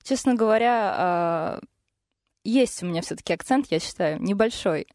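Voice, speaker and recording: A woman's voice: medium pitch, lively intonation, moderate pace, darkish tone, no echo. A young woman, a singer, talking casually and a bit hesitantly as a studio guest about her musical path. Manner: hesitant, thoughtful, with fillers. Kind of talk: casual interview talk.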